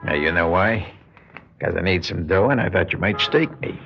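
An actor playing a tough, laconic, streetwise crook in an old radio crime drama, talking in casual slang. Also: male voice, low-pitched, lively intonation, normal speed. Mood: eager, surprised. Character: tough, menacing, streetwise crook short of money. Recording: muffled, noisy old radio recording.

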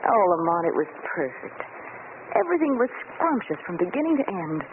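A woman's voice: mid-range voice, very animated, a little fast, natural voice. An actress playing an elegant, affectionate society lady in a gushing 1940s radio drama style. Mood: happy, affectionate. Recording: scratchy, tinny 1940s radio recording.

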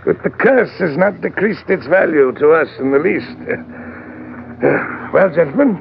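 An actor in an old radio drama playing a fat, pompous, eager gentleman treasure hunter who speaks in flowery, hesitant phrases. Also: on the high side, expressive, unhurried, gravelly. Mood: musing, sly. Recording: noisy, tinny old radio recording.

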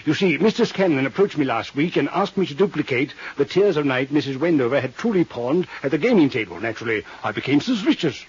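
An actor playing a genteel, vain, scheming male villain in 1950s radio drama, speaking in florid, courtly phrases with little hesitant pauses. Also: a little high, animated. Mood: vain, gloating. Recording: husky, dull 1950s radio recording.

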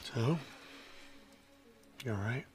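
An actor playing an anguished, weary pastor who leads survivors in an audio drama, speaking urgently with stammered repeats. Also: male voice, medium pitch, expressive, very slow, a little mellow. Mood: worried questioning.

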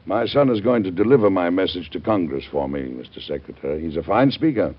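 An actor in an old-time radio drama playing a middle-aged, devout American president with a husky voice and a grave, stately, oratorical manner. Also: male voice, deep voice, lively intonation, brisk. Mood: cheerful. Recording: dull vintage radio drama recording.

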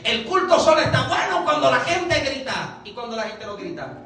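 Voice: sits high, very animated, moderate pace, gravelly, bright, forceful tone. A preacher from Puerto Rico with a Puerto Rican Spanish accent delivers a sermon, often posing rhetorical questions and checking that listeners follow. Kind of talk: sermon to a congregation. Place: large echoey room, church service. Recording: echoey hall, distant mic, music behind.

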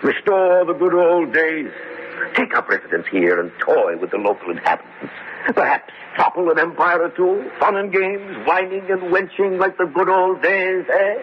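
An actor playing a theatrical villainous baron in a campy horror radio drama, mock-genial and florid, given to cackling. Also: male voice, high-pitched, expressive, moderate pace. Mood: gleeful nostalgia. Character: gloating, theatrical villainous male baron with supernatural willpower. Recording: dull, faintly noisy old radio recording.

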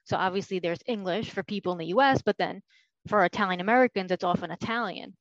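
A woman's voice: mid-range voice, quick pace, no echo. Woman chatting on a podcast, careful and thoughtful, dry dull-ish sound. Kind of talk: casual podcast conversation.

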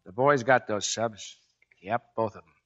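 An actor playing a gruff, commanding, plain-spoken Navy chief who tells his story, in 1940s radio drama style. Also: lowish voice, measured pace, dull audio, over loud music, natural voice. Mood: sober narrating. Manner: barking orders, plain-spoken narration.

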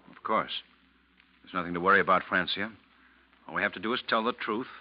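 A man's voice: low-pitched, brisk, close to an everyday voice. An actor playing a bitter, grieving widower in a 1940s radio drama, curt and emotional in his speech. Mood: curt, irritated. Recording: muffled 1940s radio recording.

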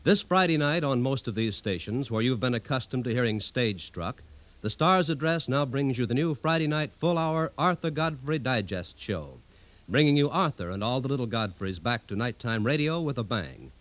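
A man's voice: medium pitch, expressive, normal speed, a bit bright. A man with an American accent reading scripted announcements in a 1950s network radio style.

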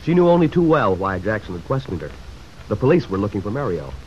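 A muffled vintage radio recording with slight noise carries a male narrator. He tells the story dramatically, his lowish voice rising and falling with lively expression. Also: quick pace.

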